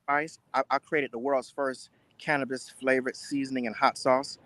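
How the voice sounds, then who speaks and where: A man speaking as an interview guest, giving earnest, deliberate answers in a casual style. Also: mid-range voice, little pitch movement, a bit bright, no room sound. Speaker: guest, spice entrepreneur.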